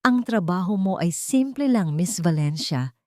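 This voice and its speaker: A woman's voice: fairly low pitch, animated, a little slow, no echo, studio quality. A woman voicing a cold, curt billionaire father who gives stern, emphatic orders in a drama story. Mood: stern, irritated.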